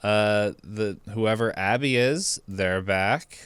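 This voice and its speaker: A man's voice: lowish voice, takes it slowly, fairly bright tone, clear, resonant tone, no echo. A man co-hosting a podcast, discussing films casually and correcting himself as he goes. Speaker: podcast co-host.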